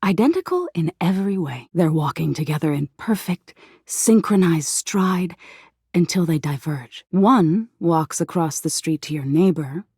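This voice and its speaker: A woman playing an eerie, softly menacing embodiment of existential dread in a video game, narrating slowly in a hushed, breathy voice that slips into whispers. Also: on the low side, no echo, studio quality, exaggerated voice. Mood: ominous, hushed. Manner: slow hushed storytelling, lapsing into whispers.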